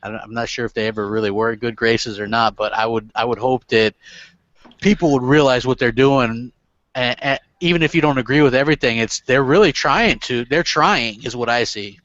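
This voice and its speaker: A man's voice: medium pitch, brisk, a bit bright, dry recording, highs cut off. A man hosting a casual gaming podcast, rambling with lots of fillers and restarts and casual swearing. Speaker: podcast host.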